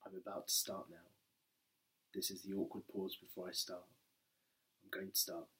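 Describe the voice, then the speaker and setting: A man's voice: sits low, flat delivery, normal speed, sharp tone. A man reading a personal essay aloud in a wry, conversational way, leaning on key words for emphasis. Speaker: book editor and essayist reading his work.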